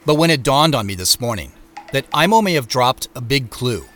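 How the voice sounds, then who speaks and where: A man's voice: medium pitch, very animated, fairly quick, fairly bright tone, soft background music. An actor playing a wry, evasive, preoccupied businessman in a naturalistic audio drama. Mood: reflective.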